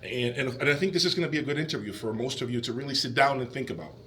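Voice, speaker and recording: A man's voice: medium pitch, rapid-fire, a bit bright. A man hosting a casual video interview, talking to his viewers in a conversational, opinionated way. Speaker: host interviewer of an audio channel.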